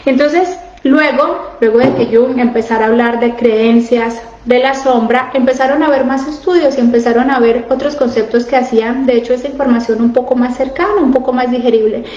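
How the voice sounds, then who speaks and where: A woman's voice: a little high, fairly even intonation, a little fast, hardly any pauses, darkish tone, roomy sound, muffled recording. A woman in her thirties coaching her audience in a casual live stream, earnest and asking direct questions. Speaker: coach on emotional eating, live stream host. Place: home, living abroad.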